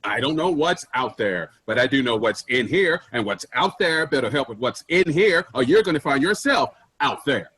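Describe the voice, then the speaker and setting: Abrasive, callous stage boss, male actor, sarcastic and brusque, highly animated, forceful tone. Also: normal speed, husky. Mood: bossy, impatient. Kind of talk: staged play reading.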